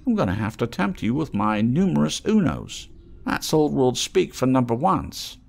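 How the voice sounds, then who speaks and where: A man voicing an eccentric old tramp trader in an audiobook, wheedling in excitable, salty, rambling patter. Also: mid-range voice, normal speed, creaky, voice pushed up.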